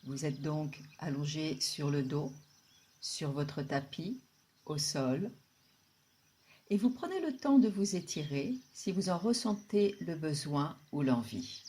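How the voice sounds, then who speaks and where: Slow, low-voiced woman guiding relaxation. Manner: calm, soothing, unhurried instruction with long pauses. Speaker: yoga nidra guide. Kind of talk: guided relaxation meditation.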